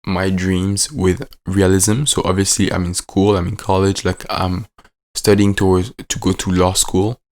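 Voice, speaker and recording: A man at the end of his teens with a French accent, a native French speaker, talking in a casual, rambling way with lots of fillers and restarts. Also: deep voice. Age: late teens. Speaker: vlogger. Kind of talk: casual reflective vlog.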